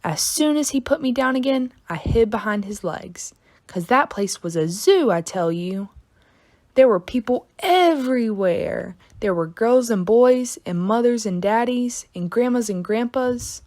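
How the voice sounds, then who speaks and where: Slow, highly animated woman reading a storybook. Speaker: read-aloud narrator. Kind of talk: expressive children's storybook narration.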